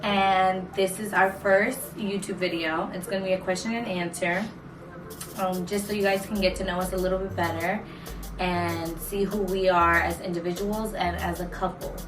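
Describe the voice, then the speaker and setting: A woman's voice: on the low side, fairly even intonation, normal speed. Chatty young woman, roomy distant sound. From New York and Florida, USA. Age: 20s. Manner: chatty, rambling with many fillers. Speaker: vlog co-host. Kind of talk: casual Q&A chat. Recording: roomy, distant mic, music underneath.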